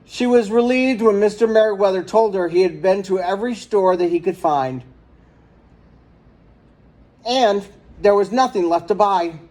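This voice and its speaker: A man's voice: sits high, moderate pace, pauses often, darkish tone. A man reading a children's picture book aloud as a storyteller.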